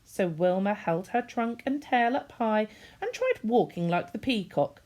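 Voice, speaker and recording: A woman's voice: medium pitch, animated, a little slow, a little mellow. A woman reading a children's story expressively, in the manner of a teacher speaking to young listeners.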